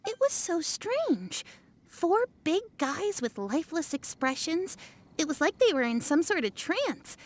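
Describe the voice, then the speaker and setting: A woman's voice: very high-pitched, big pitch swings, a little slow, heavily acted. An actress playing a helpful woman in a game, recalling what she saw in a chatty, thoughtful way. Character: helpful roadside woman recounting sightings. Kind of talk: game voice acting. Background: game music.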